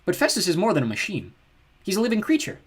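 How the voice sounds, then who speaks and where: A man's voice: high-pitched, huge pitch swings, quick pace, husky, pitched above the performer's own voice, full character voice. A man voicing a brave, persuasive teenage demigod girl in an audiobook reading. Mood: earnest. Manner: earnest, coaxing.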